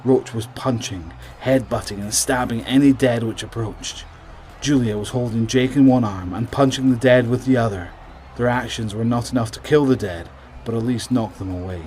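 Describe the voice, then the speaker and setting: A man narrating a scripted horror story in a measured, suspenseful way, trailing off at the ends of phrases. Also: medium pitch, a little mellow, slight background noise.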